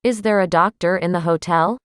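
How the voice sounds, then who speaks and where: A woman reading short phrases aloud slowly and carefully for language learners, saying each one twice. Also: on the low side, smooth, no room sound, studio quality. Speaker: language lesson reader.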